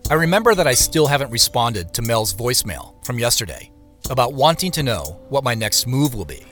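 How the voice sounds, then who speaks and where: Male actor doing wary narrator guy for an audio drama, bright clear-cut tone, lots of pitch movement, music behind. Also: big pitch swings, a little fast. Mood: measured, wary. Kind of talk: scripted first-person present-tense narration.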